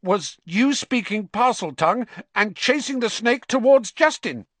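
A man's voice: fairly high pitch, big pitch swings, moderate pace, a bit bright, no room sound, pitched above the performer's own voice. A man voicing a pompous, fearful schoolboy in an audiobook, dramatic and insistent. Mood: defiant, nervous. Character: pompous, fearful schoolboy spreading dark suspicions. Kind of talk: audiobook character acting.

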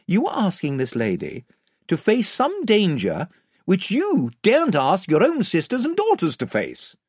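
A man voicing a young king who speaks in a measured, pointed way. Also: high-pitched, huge pitch swings, darkish tone, slightly rough, dry recording, clean studio sound, voice pushed up, heavily acted. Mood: reproachful.

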